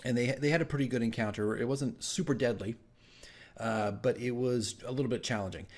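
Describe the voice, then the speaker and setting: A man's voice: medium pitch, a little fast. Man casually recounting his tabletop game. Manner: animated, rambling asides with acted-out exclamations. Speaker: dungeon master recapping his tabletop game. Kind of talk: casual storytelling vlog.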